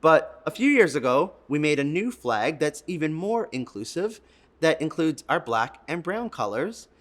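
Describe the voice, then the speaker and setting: A storytime co-host reading and explaining to young children in an expressive way, stretching words for emphasis and speaking directly to the listeners. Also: medium pitch, normal speed.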